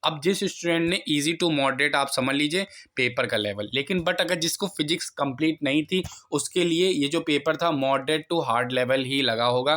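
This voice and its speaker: A man's voice: a little high, a bit bright. A man giving an informal, instructional explainer to students, repeating points and mixing in English words. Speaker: exam analysis presenter, teacher.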